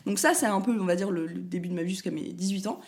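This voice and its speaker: A young woman from Paris, a talkative guest in a casual interview who pauses often on fillers. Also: on the low side, a little mellow. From France, Paris. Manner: chatty, talkative, many fillers and restarts. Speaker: guest, content creator.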